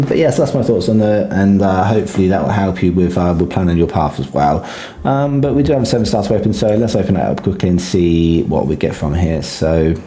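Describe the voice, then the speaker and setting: A deep-voiced man talks through a mobile game in a relaxed, halting way, his throat a little sore. His voice is dark and mellow, and the room gives it a noticeable echo. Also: a little hiss or hum. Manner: hesitant, filler-heavy, low-key. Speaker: gaming guide creator. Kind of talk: casual game commentary. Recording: roomy echo.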